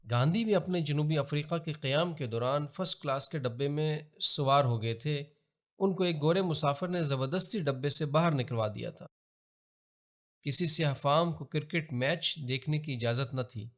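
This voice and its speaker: A man with a Pakistani accent reads scripted historical narration in a formal, measured, expository manner. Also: a little high, fairly bright tone. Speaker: documentary narrator.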